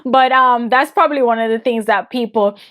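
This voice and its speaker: Jamaican woman interviewee, a touch high-pitched, motivational coach talking warmly about her mission. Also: brisk. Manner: earnest, motivational, laughs often. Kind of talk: answering interview questions.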